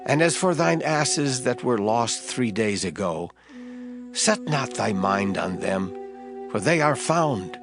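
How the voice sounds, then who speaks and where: An actor playing an authoritative, assured prophet in dramatized scripture, solemn, declamatory and deliberate. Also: male voice, mid-range voice, animated, vocal fry. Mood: assured, instructing. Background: background music.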